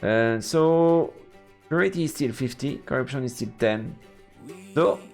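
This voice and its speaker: A man's voice: medium pitch, unhurried, darkish tone, soft background music. A man live-streaming a game, reading its narration aloud and adding murmured, playful asides. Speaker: let's play streamer.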